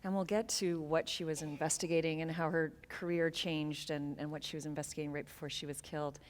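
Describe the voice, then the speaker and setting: Woman interviewer at a live talk, deep voice, faint noise behind, engaged. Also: flat delivery, fast. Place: large event hall.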